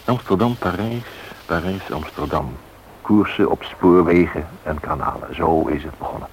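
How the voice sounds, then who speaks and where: A man narrating a radio drama in measured, clipped phrases with a wry, reflective manner. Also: low voice, expressive, slight background noise, low-quality recording. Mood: reflective, solemn.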